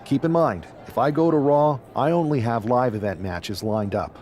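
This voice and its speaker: A man's voice: mid-range voice, normal speed, darkish tone, natural voice. A man playing a loyal, encouraging young wrestler in a video game cutscene. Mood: sincere, nostalgic. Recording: faint background noise and ambience.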